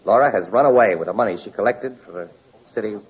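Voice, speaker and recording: Husky, fast-talking tough crime photographer. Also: male voice, fairly low pitch. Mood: brisk, probing. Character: brisk, determined newspaper crime photographer, a man. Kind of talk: 1940s radio drama acting. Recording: dull, lo-fi 1940s radio recording.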